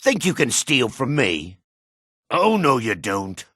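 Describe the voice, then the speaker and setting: An actor playing a gruff, suspicious middle-aged dark elf man in a fantasy video game, raspy and curt with a wary, sneering edge. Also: mid-range voice, wildly swooping pitch, slow pace, dry recording, studio quality, exaggerated voice. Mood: menacing, cold. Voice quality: gravelly, raspy. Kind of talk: video game character barks.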